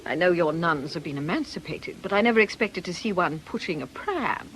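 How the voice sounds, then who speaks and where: A woman's voice: on the low side, lively intonation, a little fast, a bit bright, slight background noise, poor audio. Bitter, taunting witch, swooping delivery. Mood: mocking amusement. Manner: theatrical, mocking, dramatic. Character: bitter, taunting middle-aged woman, a defiant witch. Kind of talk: radio drama acting.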